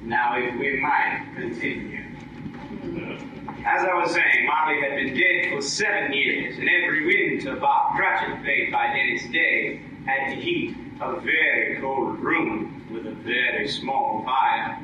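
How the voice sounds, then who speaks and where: A man's voice: medium pitch, unhurried, lo-fi sound, noisy background, natural voice. A man playing an old-time radio announcer, narrating formally and theatrically in 1940s style. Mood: genial. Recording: echoey hall, far from mic.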